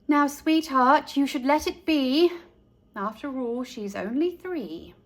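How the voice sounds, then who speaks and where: A woman voicing a soothing yet firm storybook mother, gently scolding and indulgent. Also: high-pitched, expressive, slow, heavily acted.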